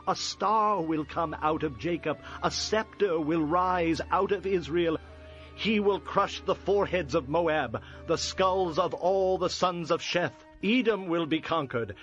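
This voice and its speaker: An actor playing a solemn, resolute prophet who declaims oracles in a dramatized audio scripture. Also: male voice, fairly high pitch, a bit bright. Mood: solemn, foreboding. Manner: declamatory, measured poetic delivery. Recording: dull lo-fi audio with music. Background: music.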